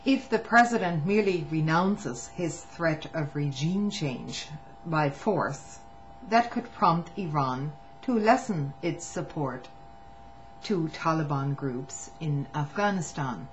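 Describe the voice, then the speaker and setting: A woman with a lowish voice reads a formal statement aloud, steady and measured, with a slip or restart here and there. The recording is dull and lo-fi, and a faint electrical hum sits underneath it. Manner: measured reading with occasional stumbles and restarts. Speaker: reader of a public document. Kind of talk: reading testimony aloud.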